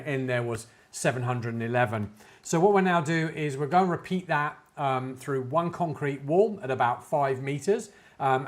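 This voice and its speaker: A man presents an informal tech demonstration at a middling pitch. He thinks aloud as he works, a little hesitant, explaining things step by step like a hands-on reviewer.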